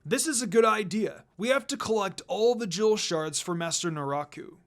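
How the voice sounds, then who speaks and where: A man performs a young mercenary swordsman from a fantasy story. He is smug and cocky, talking in a smooth, casual way. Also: high voice, expressive, normal speed, a bit bright. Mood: confident.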